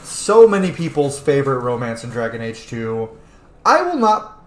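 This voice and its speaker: A man's voice: mid-range voice, very animated, normal speed. A man giving casual, chatty, musing commentary as he plays a video game. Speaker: let's player. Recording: roomy echo with faint background noise.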